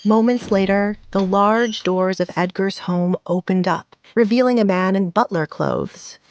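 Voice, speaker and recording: A woman's voice: mid-range voice, unhurried, no room sound, lo-fi sound. Woman narrating an audio drama.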